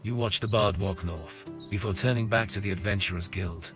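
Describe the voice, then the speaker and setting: A man with a lowish voice narrates a fantasy adventure game. His delivery is scripted and measured, like storytelling, with music clearly underneath.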